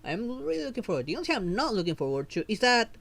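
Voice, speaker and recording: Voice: mid-range voice, sing-song, fast talker, gravelly, a bit bright. A streamer giving casual live gameplay commentary, rambling and thinking aloud.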